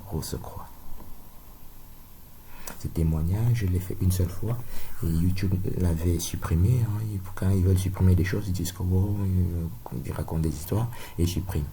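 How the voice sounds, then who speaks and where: Deep gravelly man. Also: dark tone, a little hiss or hum. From Cameroon. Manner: digressive, peppered with tag questions, insistent. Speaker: video lecturer. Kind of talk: rambling spoken monologue.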